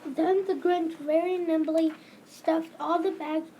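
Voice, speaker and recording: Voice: very high voice, fairly even intonation, takes it slowly, mellow, rough recording. A young school-age child reading a rhyming storybook script aloud as a narrator. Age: child, elementary school. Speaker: readers theater narrator. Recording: background ambient noise.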